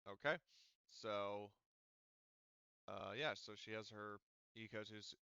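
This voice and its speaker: A man's voice: fairly low pitch, fairly even intonation, slow pace, creaky, pushed and bright, smooth, no room sound, dull audio. A man narrating as game master for a tabletop roleplaying game, explaining at length with many ums and restarts. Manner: hesitant, filler-heavy explaining.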